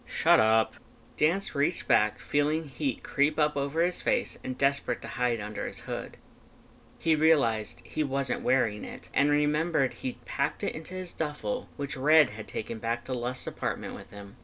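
A woman's voice: rumbling low, moderate pace, fairly bright tone. A woman reading scripted fan fiction narration as an audiobook narrator.

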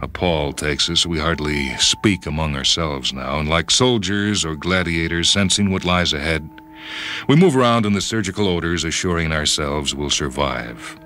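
Male narrator, extremely low and forceful, measured dramatic first-person fiction reading. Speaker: story reader. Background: background music.

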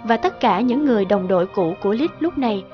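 A woman reading scripted narration for an animated story. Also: medium pitch, quick pace, highs cut off, over music.

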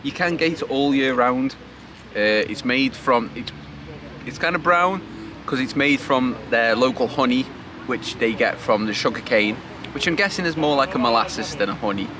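A man's voice: mid-range voice, animated, normal speed. A man in his thirties from Britain, a travel vlogger chatting casually outdoors. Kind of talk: casual vlog chat. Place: outdoors in a city park. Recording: noisy outdoor location sound.

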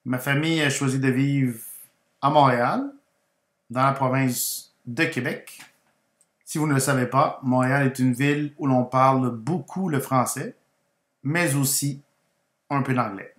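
A man from Guatemala who moved to Quebec as a child, a native Spanish speaker, tells his personal story as a language teacher in deliberate, separate phrases. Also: medium pitch, fairly bright tone. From Guatemala, moved to Quebec, Canada aged ten. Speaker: language teacher and channel host. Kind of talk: personal story told as a slow educational narration. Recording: roomy, echoing voice recording.

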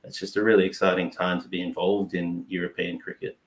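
Australian man, 30s, deep voice, flat intonation, casual interview guest, roomy video call. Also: a little fast. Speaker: cricket player and team manager. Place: small indoor room, seated on a couch. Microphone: shared video call microphone, at some distance.

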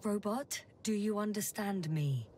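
A woman playing a determined, curious scientist exploring an alien planet in a video game, with measured, murmuring delivery. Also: medium pitch, mellow, recorded from a distance, a little hiss or hum, natural voice. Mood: puzzled, wary. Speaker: doctor on a space crew. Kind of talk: naturalistic video game acting.

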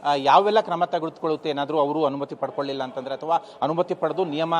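A man's voice: a little high, fast talker. A man with a Kannada accent giving a live news report in long explanatory phrases with hesitant gaps. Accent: Kannada, Karnataka. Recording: faint ambient noise.

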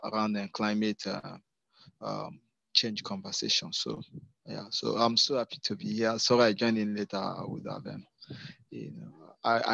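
Gravelly, halting Nigerian man. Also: on the low side, fairly bright tone. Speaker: audience participant. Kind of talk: spontaneous comment in an online discussion. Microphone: video call. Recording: dull lo-fi video call audio.

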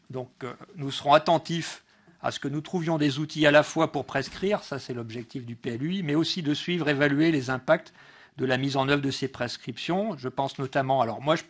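A man giving a political speech as a local councillor, halting often and correcting himself as he goes. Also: medium pitch. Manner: hesitant delivery, frequent pauses and self-corrections. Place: council meeting room.

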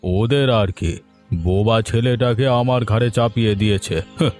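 A man playing a gruff, worried middle-aged farmer father in a cartoon, with a grumbling, weary manner. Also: medium pitch, moderate pace, darkish tone, faint music behind, lightly acted. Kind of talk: Bengali moral-story cartoon dubbing.

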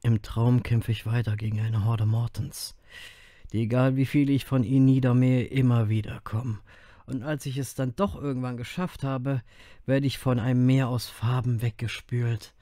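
An actor playing a brooding, wry male grim reaper who narrates his own story in an audio drama, self-mocking and breathy in places. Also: mid-range voice, steady tone, normal speed, mellow, no echo, studio quality, close to an everyday voice. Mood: weary, brooding.

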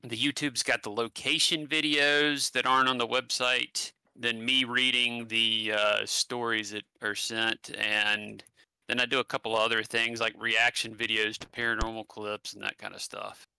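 Bright-voiced West Virginian man, halting. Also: mid-range voice, flat delivery, vocal fry, smooth, no room sound, low-quality recording. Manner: halting, many fillers and restarts. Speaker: guest, paranormal channel creator. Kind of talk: casual conversational interview. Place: home room, indoors. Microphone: headset mic on a video call.

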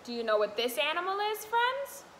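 High, bright young woman teaching toddlers. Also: big pitch swings, brisk. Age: young adult. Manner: sing-song, addressing small children with simple questions. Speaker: toddler classroom helper. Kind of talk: children's read-aloud and teaching to camera. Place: indoor classroom, sitting on the floor. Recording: roomy echo, faint room noise.

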